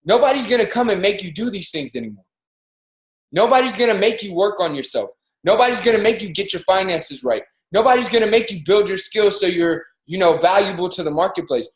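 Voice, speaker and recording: A man in his thirties giving an energetic, preachy motivational talk as co-host of a livestreamed show, pushing his voice up on key points. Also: high voice, reverberant. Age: 30s. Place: home in Miami, livestreamed call. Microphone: video call.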